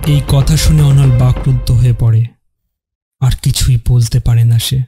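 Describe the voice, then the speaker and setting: A man narrating a scripted dramatic story in measured, separate phrases. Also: mid-range voice, steady tone, mellow, husky. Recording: music under the narration.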